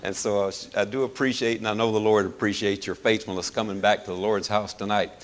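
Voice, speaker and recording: A middle-aged man preaching in a folksy, chuckling manner, hoarse as he loses his voice, with plenty of fillers. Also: on the low side, steady tone, a little fast. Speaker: pastor. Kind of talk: sermon.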